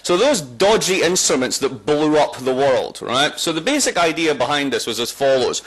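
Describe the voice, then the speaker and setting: A man's voice: on the high side, moderate pace, low-quality recording. Wry Scottish man lecturing. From Scotland. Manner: wry, joking, lively delivery; strong voice, walks away from the microphone. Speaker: guest lecturer. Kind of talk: public lecture.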